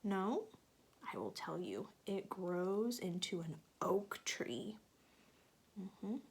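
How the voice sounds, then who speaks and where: Slow, patient woman reading a storybook. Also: medium pitch, sing-song, husky. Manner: patient, explains with questions to young listeners. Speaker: teacher reading to children. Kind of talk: picture-book read-aloud with teaching asides.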